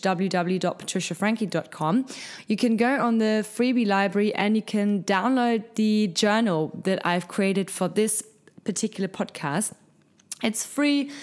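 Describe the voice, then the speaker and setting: A woman hosting a casual solo podcast, her voice husky from lack of sleep, talking in an upbeat, encouraging, motivational way. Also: medium pitch. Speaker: podcast host. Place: hot top-floor apartment.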